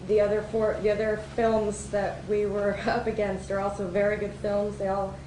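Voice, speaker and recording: A woman filmmaker giving informal thank-you remarks as a guest speaker, hesitant and pausing often. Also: medium pitch, flat delivery. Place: event hall before an audience. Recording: echoey room with background noise.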